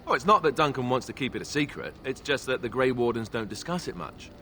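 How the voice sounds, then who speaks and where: An actor playing a wry, boyish, self-deprecating young warrior in a video game, speaking in a conversational, sardonic way. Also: male voice, mid-range voice, big pitch swings, fast talker, background noise. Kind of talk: video game character dialogue.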